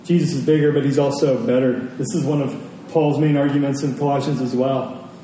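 A man's voice: medium pitch, fairly even intonation, a little fast, warm tone. A man preaching a sermon to a congregation, speaking emphatically and repeating phrases for effect. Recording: very echoey hall, distant mic, faint noise.

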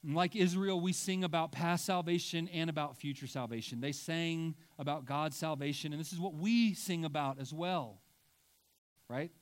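A middle-aged man preaching a sermon in a church, conversational and self-deprecating, often checking in with "right?" and stumbling through restarts when he digresses. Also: a little high. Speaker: pastor. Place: church sanctuary.

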